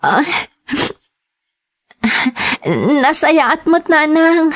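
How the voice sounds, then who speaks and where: Homesick daughter, high slow voice. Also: female voice. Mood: wistful. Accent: Ilocano. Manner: reassuring, wistful. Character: brave-faced homesick young daughter working abroad. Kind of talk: Ilocano radio drama acting.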